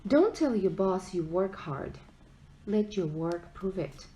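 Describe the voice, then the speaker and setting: A woman's voice: on the low side, expressive, takes it slowly, dark tone. A woman reading aloud from a self-help book in an emphatic, conversational way. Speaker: book reader at a school read-aloud event.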